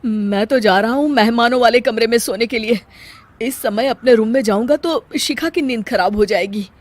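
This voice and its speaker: A woman's voice: fairly high pitch, normal speed, close to an everyday voice. A woman voicing a frightened, earnest young husband in a story. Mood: shaken.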